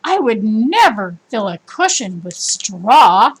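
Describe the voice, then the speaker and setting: A kindly old woman from a storybook, read by a woman narrator. The voice is fairly high, with a gentle, cheerful and generous way of speaking. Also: big pitch swings, takes it slowly, husky, heavily acted. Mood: firm.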